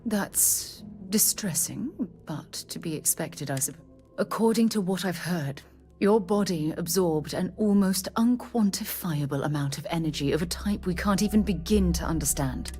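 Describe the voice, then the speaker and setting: Game scientist: actress as formal, curious researcher, low-ish voice, measured delivery, faint music. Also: female voice, darkish tone, lightly acted. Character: formal, curious female scientist, precise and fascinated. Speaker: scientist companion. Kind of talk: video game voice acting.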